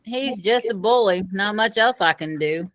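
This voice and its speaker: A woman's voice: mid-range voice, expressive, normal speed, fairly bright tone, no room sound, lightly acted. A woman reading the dialogue of a frustrated, protective schoolboy in a children's audiobook. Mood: frustrated, resigned. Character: protective, frustrated schoolboy warning his friend. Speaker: audiobook reader.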